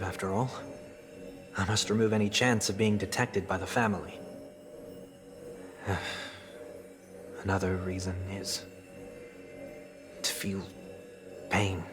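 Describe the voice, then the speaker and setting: An actor playing a melancholic, refined young man in a game cutscene, speaking in measured, poetic, wistful phrases. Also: low voice. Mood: weary, resolute. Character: melancholic young male fallen idealist, refined and soft-spoken. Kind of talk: dramatic video game cutscene acting. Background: music.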